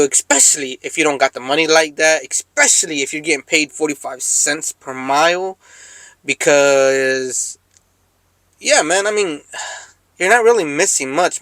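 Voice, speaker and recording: Slangy American man with a slightly high voice. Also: a bit bright, no echo. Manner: rambling, laid-back street slang. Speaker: trucker vlogger. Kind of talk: casual chat to viewers, slangy.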